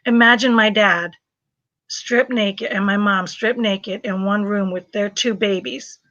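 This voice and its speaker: A woman's voice: medium pitch, measured pace, dry recording, lo-fi sound. A woman with an American accent, hosting a live video chat and telling stories animatedly, with frequent laughs. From Southeast Asia. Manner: animated storyteller, laughs often. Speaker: host.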